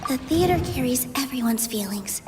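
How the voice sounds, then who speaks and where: An actress playing a gentle, earnest young theater dancer in a video game, speaking softly and from the heart. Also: female voice, high-pitched, animated, moderate pace, noisy background. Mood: earnest, restrained.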